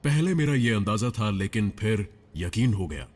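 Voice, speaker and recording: Commanding robot villain, long reverb. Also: male voice, on the low side, expressive, moderate pace, a little mellow. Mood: self-assured. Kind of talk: dramatic Hindi cartoon dub.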